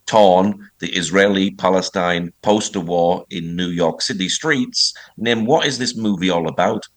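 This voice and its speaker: Low-voiced guy interviewing a director, short direct questions. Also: a little slow.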